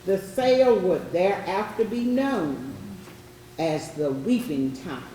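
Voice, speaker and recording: A woman delivering scripted historical narration in a grave, deliberate manner. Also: on the low side, animated, warm tone. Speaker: readers theatre narrator. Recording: very echoey, distant mic, faint noise.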